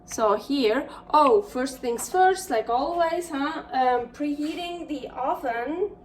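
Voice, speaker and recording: A young Swiss woman with a noticeable accent talks through a recipe in her home kitchen. Her voice sits high and her pace is slow, full of fillers and restarts as she thinks aloud. The mic is some distance off, with a faint hum of room noise behind her. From Switzerland. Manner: chatty and rambling, many fillers and restarts. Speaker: cooking show host. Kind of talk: casual cooking vlog chat.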